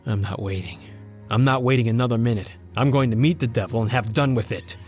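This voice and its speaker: A man's voice: mid-range voice, a little fast, lightly acted. An actor playing a frightened, wrought-up man with a gun in an old-time radio thriller, speaking in a melodramatic way. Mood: grim, desperate. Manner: overwrought, melodramatic. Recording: music bed behind the voice.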